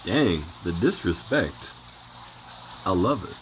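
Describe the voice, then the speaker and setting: A man reacts casually as a commentator on game clips. His mid-pitched voice moves up and down a lot, at a slow pace. There is faint noise behind him. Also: big pitch swings.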